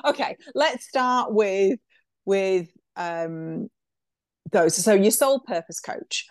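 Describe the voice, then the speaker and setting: A woman's voice: medium pitch, animated, takes it slowly, clear, resonant tone, dry recording, clean studio sound. A woman hosting a podcast interview, chatty and enthusiastic, full of praise for her guest. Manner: gushing and enthusiastic, chatty with fillers. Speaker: podcast host. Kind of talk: casual interview chat.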